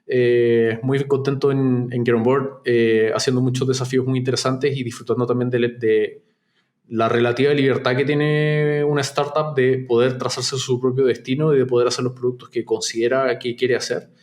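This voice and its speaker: A man from Chile speaks as a podcast guest. His Chilean accent is softened toward neutral Spanish. He talks in a reflective way, often restarting his sentences, at an average pitch. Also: flat delivery, moderate pace. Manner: reflective, frequent restarts and fillers. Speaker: startup co-founder and CEO, podcast guest. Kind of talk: casual interview conversation.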